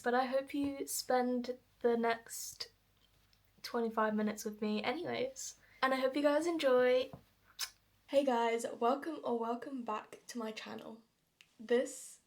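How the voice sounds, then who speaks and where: High-voiced young southern English woman vlogging. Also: a little mellow. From London, England. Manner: informal, chatty, self-deprecating. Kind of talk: casual get-ready-with-me chat to viewers.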